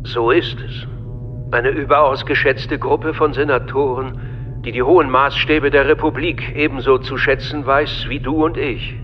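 A man performs an older galactic chancellor for a radio drama. He is measured and courtly, with an unctuous, fatherly manner that hides a schemer. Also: mid-range voice, lo-fi sound, close to an everyday voice. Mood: fatherly, soothing. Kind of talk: German radio drama acting.

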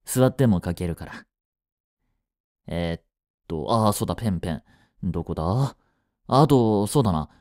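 A young man acting a jealous, possessive yandere boyfriend in a romantic voice drama, with a soft, coaxing, controlling manner that is sweetly menacing. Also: sits low, animated, normal speed, long pauses, no echo, studio quality. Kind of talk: Japanese romantic situation voice drama.

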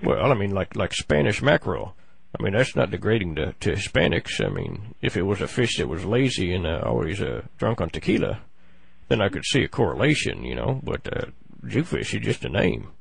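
Man, very gravelly, low voice, halting, mock-earnest, prank caller, complaining. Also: sing-song, no echo. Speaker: prank caller on a comedy show. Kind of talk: comic prank phone call.

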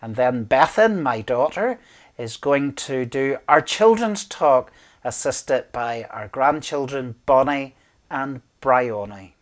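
A man's voice: medium pitch, lively intonation, takes it slowly. A middle-aged man, a church minister, informally leading an online service. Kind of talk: informal service introduction and prayer. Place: small indoor office, seated at desk.

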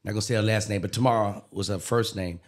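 A man's voice: fairly low pitch, steady tone, quick pace. A man, a podcast guest, telling a profane, joking story in a studio on a desk mic. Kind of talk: comic storytelling. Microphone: desk mic on boom arm.

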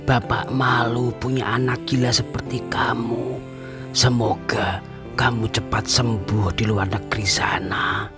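An actor playing a stern middle-aged father who scolds his daughter in an animated cartoon. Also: medium pitch, a little slow, music in the background, close to an everyday voice. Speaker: father of Indah.

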